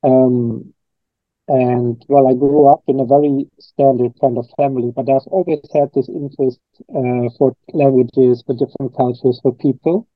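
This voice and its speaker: A man's voice: mid-range voice, fairly even intonation, moderate pace, dry recording, narrow, tinny audio. A man from Germany, a coach and trainer answering interview questions in a thoughtful, rambling way, often restarting his sentences.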